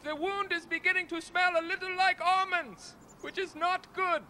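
An actor playing a badly injured man who calmly and politely asks for help after falling down a cliff. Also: very high voice, normal speed, slight background noise, full character voice. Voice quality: politely calm, matter-of-fact.

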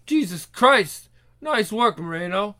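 Man doing a brash, forceful mob boss. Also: high-pitched, expressive, slow, heavily acted. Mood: barking orders. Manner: sneering, swearing, forceful. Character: brash male mob boss, crude, menacing, blustering.

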